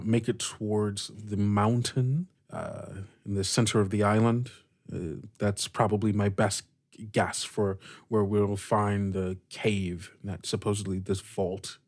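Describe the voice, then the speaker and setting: A man on a tabletop role-play podcast, improvising in character as a leader in measured, tentative phrases. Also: lowish voice.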